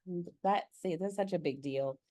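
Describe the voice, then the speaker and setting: A woman hosting a casual interview, chatting in an animated, expressive way. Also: on the low side, normal speed. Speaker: interview host. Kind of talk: casual chat.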